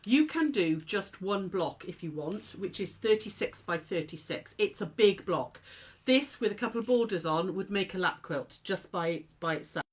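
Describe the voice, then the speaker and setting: A woman's voice: medium pitch, animated, moderate pace, breathy edge. Snuffly chatty woman host. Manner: chatty, warm greetings to viewers, many fillers. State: stuffy nose, snuffly. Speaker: craft shop host. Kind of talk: casual live-stream chat. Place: unheated home sewing studio.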